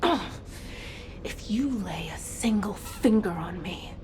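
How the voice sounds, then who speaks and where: Slow, stern, defiant negotiator. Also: female voice, medium pitch, expressive. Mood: restrained anger. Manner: deliberate, stern, restrained. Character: defiant human female negotiator holding back anger. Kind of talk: scripted game dialogue. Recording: noisy game ambience behind voice.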